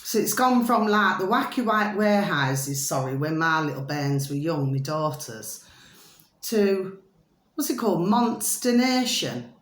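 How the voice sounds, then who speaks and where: Low-voiced, chatty middle-aged woman. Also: big pitch swings, measured pace. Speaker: vlogger. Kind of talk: rambling casual monologue to viewers. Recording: roomy echo.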